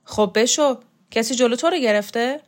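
A woman's voice: on the high side, voice pushed up, natural voice. A woman voicing a blunt, coarse middle-aged strongman who scolds and swears. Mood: scornful. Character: tough, blunt middle-aged male strongman, scornful and gruff.

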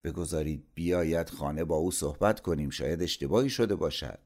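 A man voicing an anxious middle-aged mother in a story, speaking gently and pleading to calm things down. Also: rumbling low. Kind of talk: dramatised audiobook storytelling.

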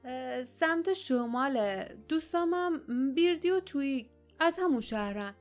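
A woman's voice: on the high side, animated, faint music behind, natural voice. A woman voicing a grown male warrior in an audiobook, speaking in a grumbling, wary way. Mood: nervous.

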